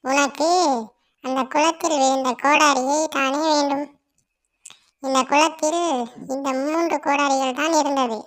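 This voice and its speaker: A woman's voice: high-pitched, steady tone, a little slow, long pauses, a bit bright. A woman voicing a kind pond fairy. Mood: gentle. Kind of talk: storybook cartoon voice.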